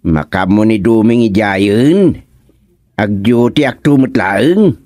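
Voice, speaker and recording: A breezy, gadget-loving village councilor in an Ilocano comedy serial. His talk is slow and drawn out with an Ilocano accent. The pitch swings around in an animated way, and the sound is close and dry. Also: no room sound. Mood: jolly, boastful. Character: easygoing, spendthrift male village councilor fond of gadgets. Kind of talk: Ilocano radio comedy drama acting.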